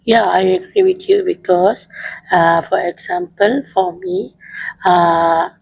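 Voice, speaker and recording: A woman's voice: lowish voice, expressive, slow, vocal fry, breathy edge, no echo, rough recording. A woman whose first language is Mandarin, talking casually in simple, broken English with many fillers. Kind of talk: casual podcast chat.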